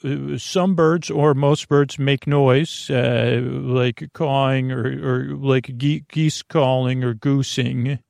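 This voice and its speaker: A man with a gravelly, creaky voice hosting a bedtime podcast, rambling haltingly and often trailing off mid-thought. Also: mid-range voice, fairly even intonation, a little mellow, no room sound, studio quality. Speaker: podcast host.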